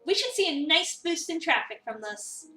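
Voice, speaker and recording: A woman's voice: very high-pitched, big pitch swings, normal speed, sharp tone, exaggerated voice. A woman voicing a bubbly, confident young female cafe coworker in a visual novel, perky and encouraging. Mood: bubbly, proud. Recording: roomy, distant mic, music behind.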